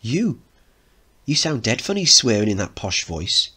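A man's voice: medium pitch, sing-song, unhurried, pushed and bright, slightly rough, pitched above the performer's own voice, full character voice. A man voicing an awkward, lovesick working-class teenage boy, blurting and self-doubting, in a dramatic play reading. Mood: incredulous.

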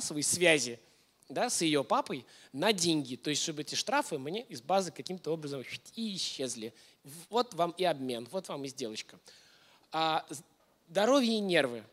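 Male presenter, thirties, voice a little high; informal talk to a room, question-led delivery. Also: a bit bright. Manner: informal, engaging listeners with questions. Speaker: lecturer giving a masterclass. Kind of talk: conversational lecture to an audience. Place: indoor hall, on stage before a projection screen.

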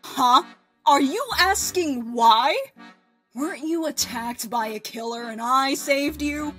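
A woman's voice: high-pitched, slow pace, bright, forceful tone, soft background music, exaggerated voice. A woman voicing a boastful, haughty young devil woman in a comic dub, brash and foul-mouthed. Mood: indignant.

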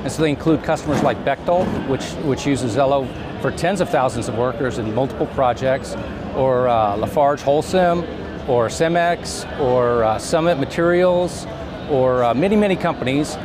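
A man in his fifties explaining things conversationally as an interview guest, in a measured, halting way with pauses. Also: mid-range voice, a crowd in the background. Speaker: company CEO, interview guest. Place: trade show booth set, indoors. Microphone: lapel mic. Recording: clear background noise of busy trade show.

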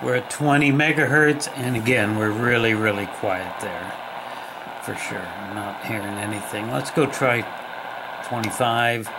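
Slow-talking man over loud radio static. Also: on the low side. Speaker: shortwave radio hobbyist presenter. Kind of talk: casual hands-on demonstration. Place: indoors at a wooden table. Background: shortwave radio static, tones and ticking.

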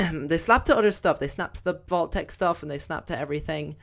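A young woman with a slightly croaky voice, chatting casually through gameplay commentary with lots of fillers. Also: low voice, expressive, a little fast, a bit bright. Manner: chatty, rambling, many fillers. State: croaky, voice recovering. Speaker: gaming video host.

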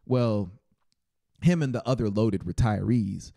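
A cool young cousin in an audiobook, acted by a man, who talks as if he knows it all. The delivery is casual and nonchalant, with a mellow tone. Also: lowish voice, measured pace, vocal fry, no room sound, clean studio sound. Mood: cool amusement. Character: cool, knowing, hungry young male cousin. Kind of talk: audiobook character voice.

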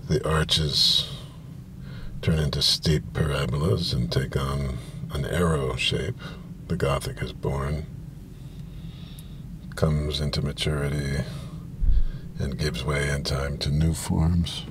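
A man in his fifties with a gravelly voice gives a halting, deliberate literary reading, pausing and repeating himself. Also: very deep voice. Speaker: reader. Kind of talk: literary reading of science fiction prose. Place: inside a parked car, small space. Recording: steady ambient noise of car interior.

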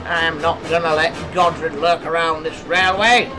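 A blustering storybook railway boss as a man acts him out. He exclaims loudly and commandingly, pitched high, over clear music. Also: fairly even intonation, moderate pace, lightly acted. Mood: alarmed, commanding. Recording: music behind the voice.

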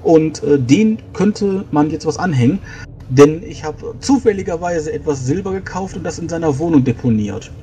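A man's voice: on the high side, lively intonation, moderate pace, dark tone, slight background noise. A man improvising in-character planning as a player in a tabletop role-playing game, explaining things in a rambling way.

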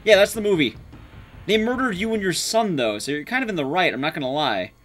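A man's voice: high voice, lively intonation, fairly quick, fairly bright tone. A young man giving casual, wry gameplay commentary to his chat, informal and sweary. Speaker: streamer, speedrunner.

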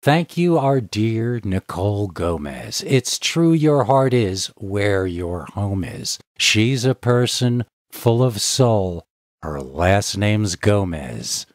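Male horror narrator and host, low register, theatrical and deliberate storytelling. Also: expressive. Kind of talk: scripted scary story narration.

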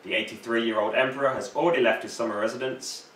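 A man's voice: medium pitch, fairly even intonation, fast talker, fairly bright tone. A young man presenting scripted news as an anchor, recorded in a room from some distance. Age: young adult. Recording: roomy, distant mic, faint noise.

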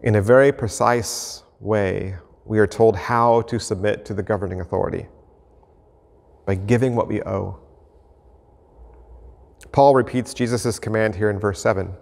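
A man in his fifties, an American pastor, preaching an earnest, measured sermon to camera from an empty church sanctuary. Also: on the low side, fairly even intonation, gravelly, a little mellow. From United States. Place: empty church sanctuary, indoors, mid-sized. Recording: reverberant church hall.

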